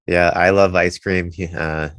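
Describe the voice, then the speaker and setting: A man from Wisconsin with a very low voice, hosting a business interview show. He keeps things friendly and easygoing and asks his guest short questions. The recording is dry and close to the mic. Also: level tone, measured pace, a bit bright, smooth, no room sound. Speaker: podcast host.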